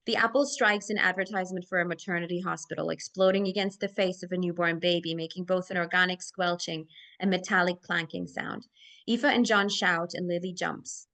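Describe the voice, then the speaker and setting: A woman's voice: on the low side, steady tone, a little fast, fairly bright tone. A woman reading stage directions aloud as narrator at a screenplay table read.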